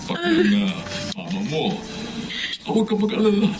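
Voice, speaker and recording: An actor playing an affectionate, ailing middle-aged Filipino father in a television drama, tender, emotional and halting. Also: high voice, wildly swooping pitch, over loud music. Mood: reassuring.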